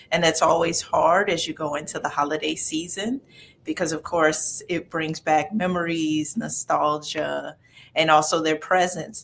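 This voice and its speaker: A woman's voice: low voice, gravelly, fairly bright tone, a little hiss or hum. A woman with a Southern US accent chatting casually as a talk show guest, folksy and emphatic, repeating phrases for emphasis. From the southern United States. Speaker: regular guest, nonprofit executive director. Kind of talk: casual chat and personal updates.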